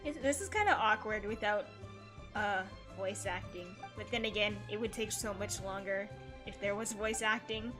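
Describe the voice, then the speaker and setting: A woman's voice: mid-range voice, normal speed, music in the background. A woman hosting a casual gaming livestream, chatting playfully as she comments on the game and reads bits of dialogue aloud. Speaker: livestream host.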